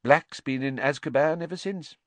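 A man voicing a pompous, grave, fussy middle-aged government minister in a storybook, telling things in a measured way. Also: a little high, no echo, pitched above the performer's own voice, close to an everyday voice. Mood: indignant, haunted.

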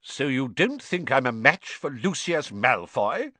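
Guy doing an excitable middle aged dad, boyish n eager, pitch jumps up high, storybook. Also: unhurried, no echo, full character voice. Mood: indignant. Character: excitable middle-aged father, balding, mild, boyishly enthusiastic.

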